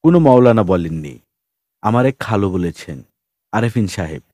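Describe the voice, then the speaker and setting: A man voicing a calm, whimsical, philosophical wanderer in an audiobook, matter-of-fact and gently teasing. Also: lowish voice, normal speed, dry recording, clean studio sound, pitched below the performer's own voice. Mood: musing, explanatory.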